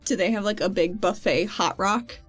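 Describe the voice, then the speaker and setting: A woman's voice: mid-range voice, normal speed, husky. A woman playing a tabletop game, chiming in with casual suggestions and comments. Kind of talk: casual chat. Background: music.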